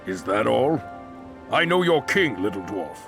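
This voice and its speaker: An actor playing a proud, blunt, boastful warrior hunter in a fantasy video game. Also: male voice, medium pitch, very animated, measured pace, gravelly. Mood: gruff, obliging. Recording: game cinematic with music behind.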